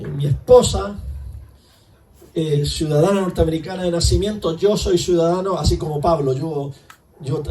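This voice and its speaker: A man's voice: fairly high pitch, darkish tone, distant mic, slight background noise. A man preaching a conversational sermon in church, full of rhetorical questions. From Chile. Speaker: pastor.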